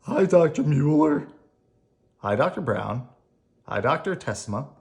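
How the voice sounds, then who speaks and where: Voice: mid-range voice, very animated, a little slow, vocal fry, darkish tone, pitched above the performer's own voice. A storyteller voicing cheery, caring hospital staff from a children's book in a sing-song manner. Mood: announcing.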